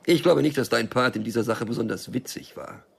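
An actor playing a stubborn, wary small-time drug dealer and loner in a radio crime drama, curt, dry and cynical. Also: male voice, mid-range voice, brisk, gravelly, slightly rough. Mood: cold, dismissive.

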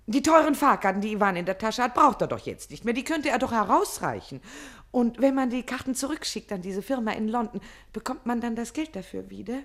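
Twittering, coquettish young wife, very lively. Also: female voice, fairly high pitch. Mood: excited, scheming. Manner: twittering, coquettish, quickly wandering. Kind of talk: German radio play acting.

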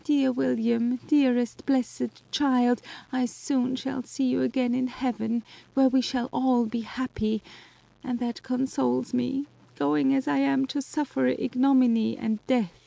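A woman's voice: a little slow, mellow, dry recording, rough recording. A woman voicing a condemned young servant woman who is weeping and pleading, in an audio drama. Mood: grieving. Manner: sobbing, pleading, gravelly low delivery.

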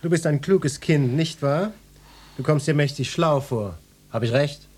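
An actor playing a brusque, swaggering male police inspector in a radio drama, gruff and bossy as he questions people. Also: fairly high pitch, measured pace, darkish tone. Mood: bullying.

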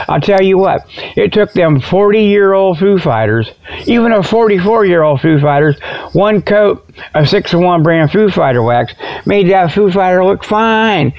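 A folksy American man rambles through a comic solo radio monologue. His voice sits a little high for a man and has a gravelly crackle. He doubles back on his words as he jokes, and the audio is slightly dull and lo-fi. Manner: folksy, jokey, stammering repetitions, chuckles at own jokes. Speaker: internet radio show host.

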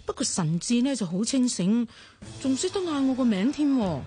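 A woman's voice: fairly high pitch, expressive, moderate pace, dark tone, close to an everyday voice. An actress with a Hong Kong Cantonese accent playing an anxious female accomplice in a radio drama. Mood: anxious, urgent.

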